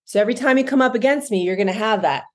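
Animated woman podcast co-host. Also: fairly high pitch, racing. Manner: animated, emphatic, laughs often. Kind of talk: casual podcast chat.

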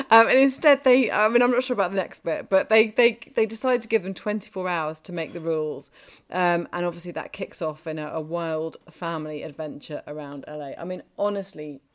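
Chatty woman film reviewer, lowish voice. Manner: chatty, hesitant, many fillers and restarts. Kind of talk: casual review chat.

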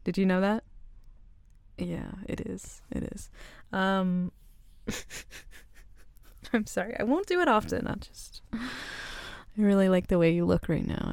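A woman voicing a flirty, teasing tomboy co-worker in an ASMR roleplay, soft-spoken and giggly. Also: fairly low pitch, gravelly, no room sound, studio quality, exaggerated voice.

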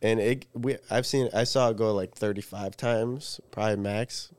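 A man's voice: lowish voice, steady tone, normal speed, darkish tone, clear, resonant tone, no echo, clean studio sound. A man in his twenties, co-hosting a podcast and telling stories in a laid-back way with lots of fillers. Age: 20s. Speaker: podcast co-host. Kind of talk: casual chat.

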